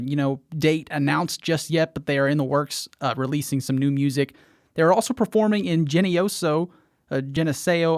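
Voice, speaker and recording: A man's voice: on the high side, dry recording, clean studio sound. A man hosting a local music radio show in a casual, informal, unscripted way.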